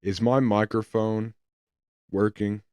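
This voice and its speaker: A slow-talking man with a lowish, hoarse voice, answering viewer questions live on a weather stream. He hesitates often and restarts his sentences. The sound is dry and close. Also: fairly even intonation, dark tone, dry recording. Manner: hesitant, with many ums and restarts. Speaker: livestream weather host.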